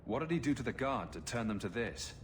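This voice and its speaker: A man's voice: mid-range voice, expressive, brisk, lightly acted. A man playing a resolute, businesslike hero in a video game, speaking in a direct, serious manner. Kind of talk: video game dramatic acting.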